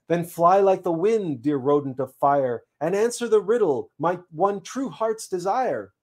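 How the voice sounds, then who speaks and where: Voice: medium pitch, lively intonation, measured pace, darkish tone, voice pushed up. A performer voicing a bewildered, gloomy seed who pleads courteously in archaic rhyming verse. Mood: urgent. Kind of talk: storybook verse recital.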